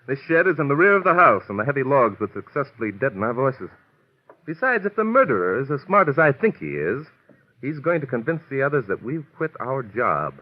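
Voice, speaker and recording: A man playing a calm, shrewd detective in an old-time radio mystery, speaking in a deliberate, politely pointed way. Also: medium pitch. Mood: grave, composed. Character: calm shrewd male detective, methodical and unflappable. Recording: dull lo-fi vintage radio recording.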